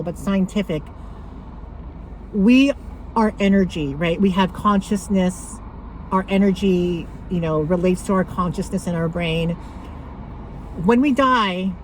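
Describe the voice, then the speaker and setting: A woman talking casually to camera about her thrift finds, rambling with lots of fillers and a loud voice. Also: medium pitch, slight background noise, low-quality recording. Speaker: thrift haul vlogger.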